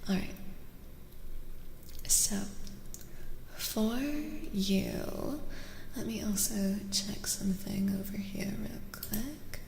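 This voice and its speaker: Soft whispery woman with heavy creak. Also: mid-range voice, fairly even intonation, reverberant, in a large hall, rough recording. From Eastern Europe. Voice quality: soft, breathy, often dropping to a whisper. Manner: gentle, unhurried, repeats words soothingly. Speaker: streamer host giving tarot readings. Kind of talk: soft-spoken ASMR, casual live chat.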